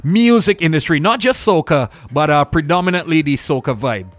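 A man's voice: a little high, animated, normal speed, no room sound, faint music behind. A man hosting a casual radio interview in an upbeat, informal, affirming way.

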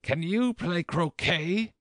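A man voicing a furious, imperious storybook queen, shrill and loud, severely shouting commands. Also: sing-song, slow pace, dry recording, studio quality, exaggerated voice.

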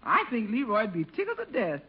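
A woman's voice: on the high side, wildly swooping pitch, fairly quick, lo-fi sound. A woman acting a good-natured, folksy, wisecracking household cook in a 1950s radio comedy, on a noisy old broadcast. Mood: amused.

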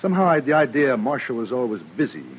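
An actor playing a proud, overconfident newcomer to the frontier, formal and self-assured, in a 1950s radio western. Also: male voice, medium pitch, sing-song, fast talker, breathy edge, rough recording. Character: proud, overconfident gentleman newcomer eager for action.

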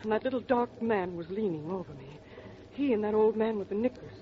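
Female actor as young melodramatic femme fatale, breathless, pleading, mid-pitched, muffled old radio recording. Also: animated, fairly quick, close to an everyday voice. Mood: frantic. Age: young adult. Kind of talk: 1940s radio melodrama acting.

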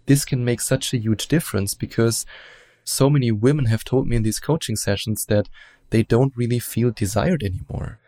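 Gravelly German-accented man. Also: mid-range voice, mellow, no room sound, clean studio sound. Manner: explanatory, measured, hedges with "let's say". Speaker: relationship coach. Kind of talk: interview guest giving advice.

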